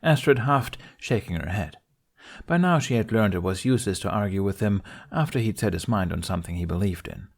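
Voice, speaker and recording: A man's voice: on the low side, expressive, brisk. A man reading scripted fan fiction aloud as an amateur story narrator.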